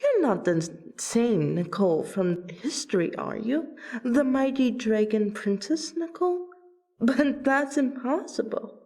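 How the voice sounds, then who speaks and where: Woman doing a posh-mannered vampire royal, mellow and slow, courteous, theatrical, fantasy audio roleplay. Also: on the high side, lively intonation. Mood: pleading, awed. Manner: polite, theatrical, deliberate. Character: courteous royal female vampire, loyal friend.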